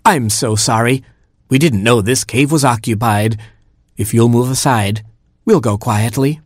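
A man voicing a gentle, apologetic beaver father with a resigned manner in a storybook. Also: medium pitch, measured pace, no echo, clean studio sound, close to an everyday voice. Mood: anxious, apologetic. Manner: mild, resigned.

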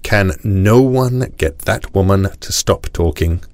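A man's voice: low voice, slow pace, a little mellow, dry recording. A man playing a pompous, fretful Rajah. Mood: exasperated authority. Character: royal Rajah father, fussy, practical, ineffectually commanding. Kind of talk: storybook character voice.

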